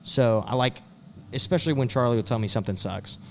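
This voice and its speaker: A man's voice: mid-range voice, fast, gravelly, a little hiss or hum. Easygoing young man. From West Texas. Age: young adult. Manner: easygoing, with frequent "uh" fillers. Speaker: singer-songwriter guest. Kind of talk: casual interview answers.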